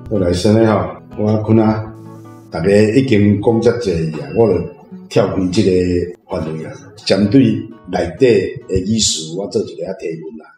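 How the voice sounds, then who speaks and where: A man with a Taiwanese accent and a fairly deep voice asks formally, phrase by phrase. The room is echoey and guitar plays quietly behind him. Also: moderate pace. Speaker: reading club member asking a question.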